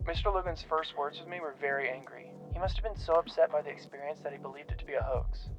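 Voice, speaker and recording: An actor playing an earnest paranormal researcher in an audio drama, giving a formal, detailed account on tape. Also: male voice, on the high side, quick pace, lightly acted. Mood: earnest, absorbed. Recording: muffled radio-like tape, music behind.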